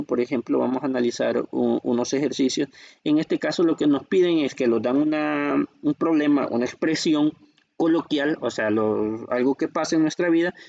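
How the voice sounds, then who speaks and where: Gravelly Salvadoran math teacher. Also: male voice, medium pitch, darkish tone, no room sound, lo-fi sound. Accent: Salvadoran Spanish. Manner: patient, checks in often with "¿vean?" and "¿ya?". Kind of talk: explaining a textbook lesson.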